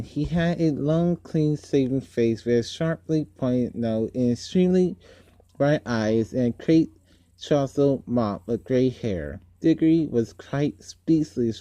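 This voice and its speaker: A man's voice: medium pitch, mellow, dry recording. A man reading a story aloud in an informal way, stumbling over words now and then. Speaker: amateur book reader. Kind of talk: read-aloud storytelling with casual asides.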